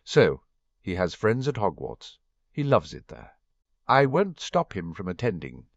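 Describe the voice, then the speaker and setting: An earnest, worried father figure speaks in a lively, rising voice , as a man reading a story puts him on. The sound is dull and dry. He sighs often and pleads gently. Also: on the low side, measured pace, creaky, no room sound, full character voice. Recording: dull, dry home recording.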